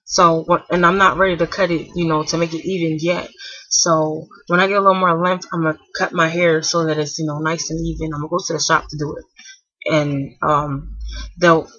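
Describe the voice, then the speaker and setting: A young woman in her twenties talks to camera from home in a bright, slightly husky voice. She rambles loosely, full of fillers and restarts, and keeps things quiet so a sleeping child won't wake. The dry, dull sound of old webcam audio frames it all. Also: sits low, flat delivery, a little fast, no echo. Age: 20s. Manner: speaking softly to keep a napping child asleep. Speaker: hair vlogger. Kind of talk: casual chat to camera.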